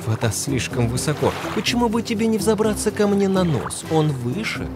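A man's voice: high-pitched, sing-song, moderate pace, music in the background. A man voicing a sly male fox in a children's cartoon tale, soothing and coaxing. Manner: coaxing, reassuring.

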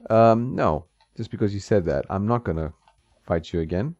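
A man with a deep, low voice chats easily over a retro fighting game, laughing and talking back to the screen. His voice is dry and close with a slightly dull top end. Faint game music plays underneath. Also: expressive, normal speed, darkish tone, no echo. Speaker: gaming channel host. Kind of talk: casual gameplay commentary.

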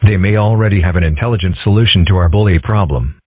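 A man's voice: deep voice, quick pace, breathy edge, no echo, phone-quality sound. A man voicing an earnest, thoughtful young fox boy in a cartoon comic dub, plainly and matter-of-factly.

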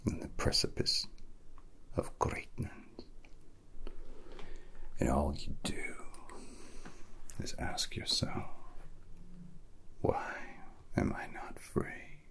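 A young man playing a menacing, grandiose villain scientist in an ASMR roleplay, whispering breathily and theatrically with deliberate, threatening pauses close to the mic. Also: a bit bright. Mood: menacing, mocking.

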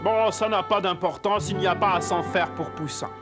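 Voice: medium pitch, moderate pace, natural voice. An actor voicing a kindly old dwarf in a French cartoon dub, matter-of-fact and reassuring. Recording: music under the voice.

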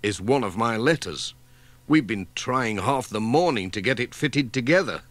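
Storybook role: kindly male postman read by a man, mid-pitched, easygoing and reassuring. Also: expressive, moderate pace, a bit bright, no echo, close to an everyday voice. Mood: explaining.

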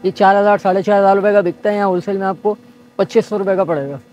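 Soft-spoken shopkeeper listing prices. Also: male voice, high-pitched, steady tone, very fast, highs cut off, slight background noise. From Pakistan. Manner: patient, listing items one by one. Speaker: shop owner. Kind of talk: showing goods and quoting prices. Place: busy market shop indoors.

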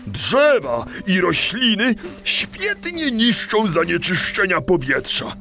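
A man's voice: high voice, huge pitch swings, takes it slowly, creaky, sharp tone, soft background music, full character voice. A man playing a kindly animal teacher in a children's audio play, encouraging and didactic, with small stammers. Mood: earnest, proud. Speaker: teacher leading a children's lesson.

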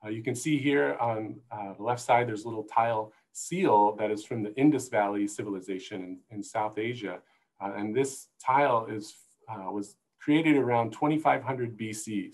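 A middle-aged man with an American accent giving an informal slide presentation as a guest expert over a video call. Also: on the low side. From United States. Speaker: zoo conservation manager, guest speaker. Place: room indoors. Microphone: earphone headset on video call.

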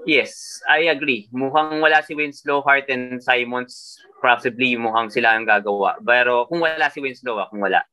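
Bright-voiced Filipino man talking fantasy picks. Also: medium pitch, fairly quick, few pauses, low-quality recording. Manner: switches between Tagalog and English, thinking aloud. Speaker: fantasy basketball podcast co-host. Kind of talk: casual chat.

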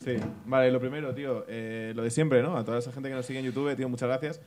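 A man's voice: medium pitch, steady tone, fast talker, a crowd in the background. A man co-hosting a casual comedy podcast on a small bar stage, rambling, laughing often and addressing everyone as mate. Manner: rambling, peppers speech with "tío", bursts of laughter. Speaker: podcast co-host.

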